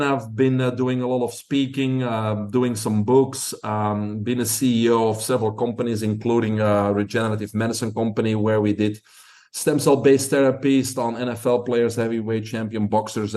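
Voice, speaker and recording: A man's voice: medium pitch, level tone, normal speed, a little mellow. A middle-aged man from Belgium with a Belgian accent, a podcast guest telling his story in long, confident answers.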